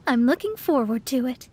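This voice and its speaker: A high-pitched, haughty young female astrologist for a video game. She speaks in formal, theatrical phrases like a self-important master who flusters easily. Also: a little mellow, slight background noise, heavily acted. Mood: eager. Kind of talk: English video game voice acting.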